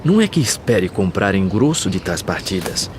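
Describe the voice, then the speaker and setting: Male patient, seasoned riverside carpenter: deep voice, reflective verse, slight outdoor noise. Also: sing-song. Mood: steadfast. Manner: measured, reflective verse delivery. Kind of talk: recited verse drama in an animated film.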